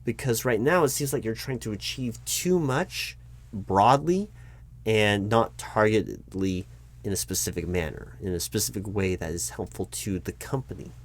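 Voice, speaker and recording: A man playing a flustered human resources manager in an audio roleplay, explaining insistently to his boss in a halting, repetitive way. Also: on the low side, close to an everyday voice. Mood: exasperated, critical. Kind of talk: one-sided improvised audio roleplay, loud spoken.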